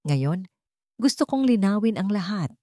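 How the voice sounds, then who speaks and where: A woman's voice: medium pitch, sing-song, unhurried, warm tone, no echo, studio quality, pitched above the performer's own voice. A woman voicing a protective, determined male CEO in a dramatic storybook, solemn and emphatic.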